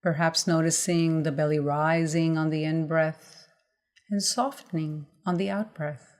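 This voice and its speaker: A woman guides a mindfulness body scan at a very slow pace. Her voice sits a little low for a woman. She gives gentle instructions in measured phrases, leaving pauses between them. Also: steady tone.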